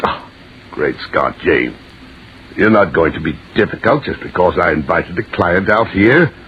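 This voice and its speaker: A man's voice: sits low, animated, normal speed. A man playing a flustered, blustering upper-class husband, stammering and huffy, in old-time radio style. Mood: indignant, blustering. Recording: muffled, noisy old radio recording.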